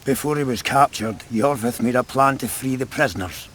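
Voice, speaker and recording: A man's voice: mid-range voice, moderate pace, fairly bright tone, a little hiss or hum, close to an everyday voice. An actor playing a wary, defiant male rebel fighter in a video game, suspicious and commanding.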